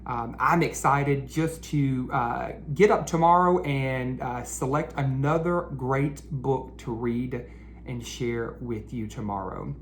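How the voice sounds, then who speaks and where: A man hosting a read-aloud for children, speaking directly to them with questions and drawn-out emphasis. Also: medium pitch. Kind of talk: children's picture-book read-aloud and chat.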